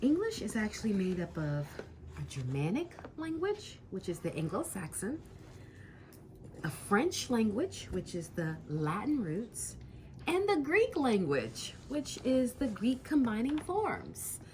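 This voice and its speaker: A woman's voice: a little high, big pitch swings, measured pace, slight background noise. A woman teaching a lesson with questions to a student, encouraging and emphatic, drawing out key words.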